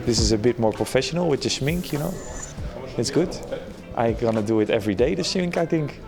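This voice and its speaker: Brief: gravelly-voiced man, slow and lively in pitch, playful, recorded from a distance. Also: over music. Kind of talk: casual interview.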